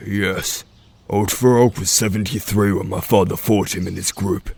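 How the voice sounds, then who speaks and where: A grave veteran wolf chief played by a man with a low voice. He speaks solemnly and with measured authority, like a father leading his pack in a fantasy audio drama. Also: fairly even intonation, creaky, darkish tone, breathy edge, full character voice.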